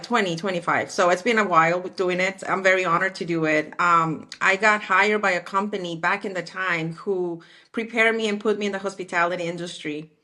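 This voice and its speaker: Animated woman. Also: fairly low pitch. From Mexico. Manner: animated, acts out quoted dialogue. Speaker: guest, architectural designer. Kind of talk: conversational interview.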